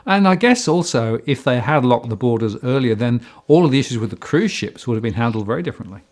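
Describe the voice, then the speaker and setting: Male interviewer, measured questions, mid pitch, lively intonation.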